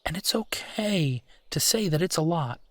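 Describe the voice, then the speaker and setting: A man's voice: fairly high pitch, lively intonation, no echo. A man playing a supportive, affectionate husband in an intimate comfort roleplay, talking in a reassuring, conversational way. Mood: gentle, supportive. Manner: reassuring, conversational, unhurried pauses. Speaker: audio roleplay performer.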